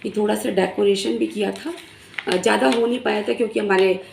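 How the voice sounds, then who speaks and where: Hoarse woman teacher, gravelly and echoey. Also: medium pitch, steady tone, darkish tone, a little hiss or hum. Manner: earnest, frequent filler pauses. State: hoarse, recovering sore throat. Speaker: primary school teacher. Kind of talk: casual explanatory talk to fellow teachers. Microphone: phone camera in selfie mode. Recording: echoey room.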